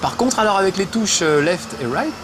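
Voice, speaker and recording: A man's voice: high voice, lively intonation, a little fast, noisy background. A man hosting a video game show, chatting playfully and mocking himself as he plays. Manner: jokey, self-mocking, chatty with many fillers. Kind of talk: playful gaming chat while playing.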